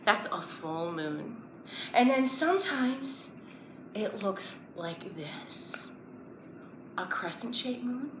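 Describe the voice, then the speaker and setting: A woman presenting a children's educational story time, playfully asking young viewers simple questions as she explains and reads aloud. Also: sits high. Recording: roomy echo, background noise.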